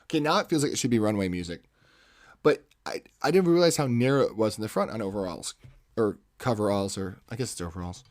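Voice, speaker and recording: Male art streamer, lowish commanding salesman voice, casual rambling delivery that self-corrects, dry close-mic sound. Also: lively intonation, fast talker, no echo. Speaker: art livestream host. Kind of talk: casual livestream chat while sketching. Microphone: microphone close to the face.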